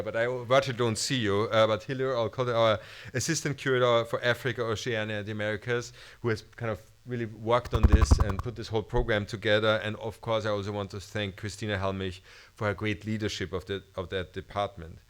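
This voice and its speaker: A man's voice: lowish voice, fairly bright tone. Austrian-accented man giving a formal welcome. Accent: Austrian German. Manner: formal, with frequent restarts and hesitations. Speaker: museum director. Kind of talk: welcome speech at a symposium. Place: auditorium stage under bright lights.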